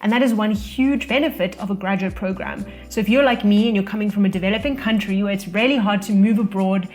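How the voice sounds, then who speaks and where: A young South African woman talking casually to camera, giving career advice from her own experience. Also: mid-range voice. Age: young adult. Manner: relaxed, conversational, speaks from own experience. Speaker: vlogger sharing career advice. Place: indoor room, losing daylight.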